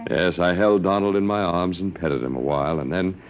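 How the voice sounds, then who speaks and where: An American actor playing an earnest, gently wry middle-aged survivor in a 1950s radio drama, musing and hesitant. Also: male voice, deep voice, fairly even intonation, moderate pace, lightly acted. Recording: muffled, noisy old radio broadcast.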